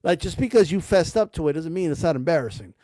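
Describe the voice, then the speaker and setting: High-voiced man ranting about boxing. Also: lively intonation, fast, mellow, dry recording. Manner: rambling, blunt, peppered with slang and cursing. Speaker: boxing commentary streamer. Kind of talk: casual ranting commentary.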